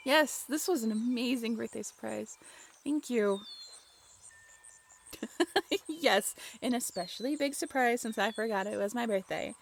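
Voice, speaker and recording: A young woman acting an affectionate, playful girlfriend in a romantic audio roleplay, expressive and teasing. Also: fairly high pitch, slight background noise. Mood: delighted, grateful. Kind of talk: romantic comfort audio roleplay, acted one-sided conversation.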